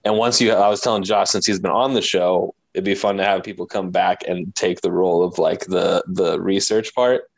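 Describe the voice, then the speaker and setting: A man's voice: low-pitched, steady tone, moderate pace, gravelly, few pauses, dry recording. A man speaking as a podcast guest in a casual group chat, joking and informal.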